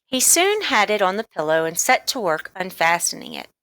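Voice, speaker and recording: A woman reading a children's book aloud as expressive, deliberate scripted narration. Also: mid-range voice, no room sound. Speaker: storybook reader.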